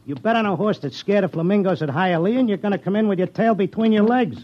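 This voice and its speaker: Actor as a brash, slangy racetrack gambler, old radio. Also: male voice, sits high, fast talker, noisy background, close to an everyday voice. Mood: irritated. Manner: slangy, wisecracking, streetwise. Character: brash, cynical horse-racing gambler telling his strange story. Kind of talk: classic radio drama acting and first-person narration. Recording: dull old radio recording with music.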